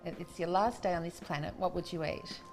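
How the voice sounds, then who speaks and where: A woman's voice: fairly low pitch, steady tone, a little fast, over music. A woman interviewing a chef in a light, conversational way. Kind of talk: friendly interview questions.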